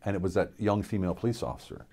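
A middle-aged man from Pennsylvania, a military veteran, gives an unscripted personal testimony in a studio, earnest and reflective, with many halting fillers and restarts. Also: low-pitched, expressive, creaky, a little mellow. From central Pennsylvania, USA. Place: indoor studio with dark backdrop.

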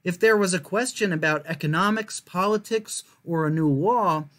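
A young man with a notably high voice hosts a story time for children. He reads aloud carefully, leaning on key words so the listener can follow. He is recorded at a desk in a small room. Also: fairly bright tone. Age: young adult. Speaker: children's storytime reader and host. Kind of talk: reading a picture book aloud to viewers.

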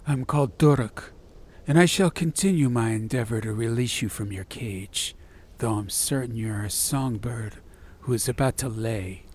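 A man voicing an eager, breathy traveler. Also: mid-range voice, normal speed, close to an everyday voice.

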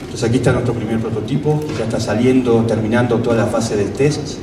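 A middle-aged man speaks into a handheld microphone in a large exhibition hall. His delivery is measured and full of figures, with frequent "¿sí?" check-ins. The sound is roomy and picked up from some distance, with a steady hall noise behind him. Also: mid-range voice. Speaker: company executive presenting at a trade show. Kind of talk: corporate presentation speech. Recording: echoey hall, taped from a distance.

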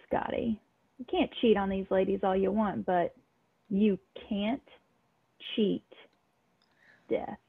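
Woman as a gravelly, smug male villain. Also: medium pitch, steady tone, exaggerated voice. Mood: gloating. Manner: slow, taunting. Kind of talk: amateur table-read acting. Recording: tinny band-limited call audio.

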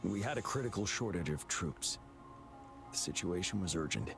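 An actor playing a stern young male commander in a game, speaking in a calm, measured way. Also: sits low, gravelly. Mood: steady. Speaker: game character. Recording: game audio with loud music.

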